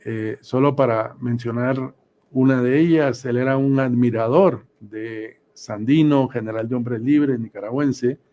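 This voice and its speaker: A man in his sixties giving reflective, deliberate interview answers with measured pauses. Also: medium pitch, steady tone, dull audio. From Guatemala. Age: 60s. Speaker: interview guest. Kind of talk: reflective radio interview answers, recounting memories.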